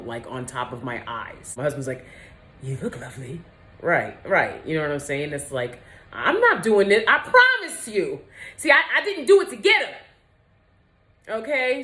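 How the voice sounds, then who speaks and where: An American woman with a low-toned voice, talking candidly and colloquially to viewers in a casual opinion vlog. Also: fairly bright tone. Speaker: video host. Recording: roomy, echoey indoor sound.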